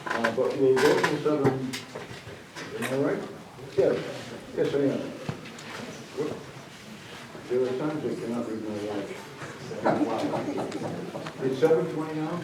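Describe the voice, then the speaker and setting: A man telling a folksy story and moderating a town candidates night, with halting restarts. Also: medium pitch, very noisy. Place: town meeting hall. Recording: very echoey hall, distant mic.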